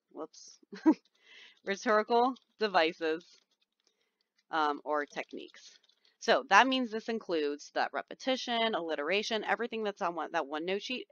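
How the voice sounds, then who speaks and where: A woman's voice: on the low side, a bit bright, no room sound, lo-fi sound. Whiny-voiced woman teacher giving instructions. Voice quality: whiny, nasal-sounding voice. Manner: chatty, reassuring, explains step by step with frequent ums. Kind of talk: recorded classroom instructions over slides. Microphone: computer microphone, screen recording.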